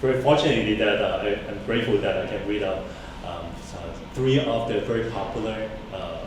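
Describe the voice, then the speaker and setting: A man from Hong Kong whose first language is Cantonese introduces himself at a reading, speaking politely and haltingly with many fillers. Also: fairly low pitch. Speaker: engineer and songwriter reading poems. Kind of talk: event introduction. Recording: distant, echoey, noisy room recording.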